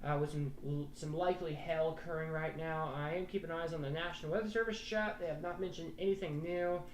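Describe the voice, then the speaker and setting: A man's voice: a little high, fairly even intonation, fairly quick, roomy sound, distant mic, a little hiss or hum, low-quality recording. Chatty young man on weather. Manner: casual, rambling, chatty. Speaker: weather forecaster. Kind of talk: live weather stream commentary.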